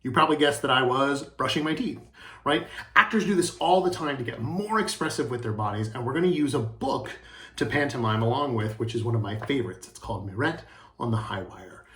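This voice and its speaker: Expressive, animated male drama teacher. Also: medium pitch, brisk. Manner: encouraging, expressive. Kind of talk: children's video lesson and storybook narration.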